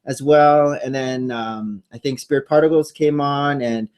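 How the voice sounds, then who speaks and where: A man's voice: medium pitch, fairly even intonation, a little slow, a little mellow, clear, resonant tone, highs cut off. A man co-hosting a casual live stream, rambling with many ums and restarts, talking into a new microphone. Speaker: co-host. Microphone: new studio microphone.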